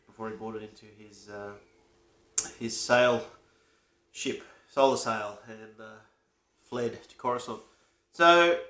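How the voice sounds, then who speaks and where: A man's voice: medium pitch, roomy sound. Man with a cold reviewing toys. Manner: relaxed, hesitant with many fillers. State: has a cold. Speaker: toy reviewer. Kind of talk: casual toy review.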